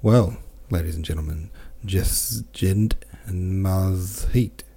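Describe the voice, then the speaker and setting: A man's voice: deep voice, slow, gravelly, mellow, husky, full character voice. A man reading the lines of a courteous male merchant who invites his dinner guests to cigars and billiards. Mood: hospitable. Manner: courteous, hospitable. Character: genial male merchant hosting a dinner party.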